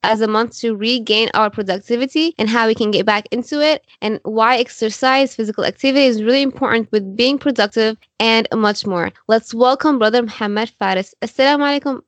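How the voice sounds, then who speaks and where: A woman's voice: fairly high pitch, fairly quick, few pauses, dry recording. A woman fitness coach hosting her own podcast, upbeat and welcoming as she introduces and praises her guest.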